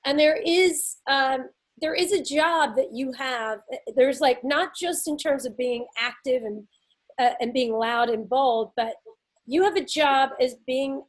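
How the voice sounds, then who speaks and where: A middle-aged woman from Nashville with a Southern accent, co-hosting a podcast in casual, laughing chat with frequent ums. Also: high-pitched. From Nashville, Tennessee. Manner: chatty, laughing often, drawn-out pauses with ums. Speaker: podcast co-host.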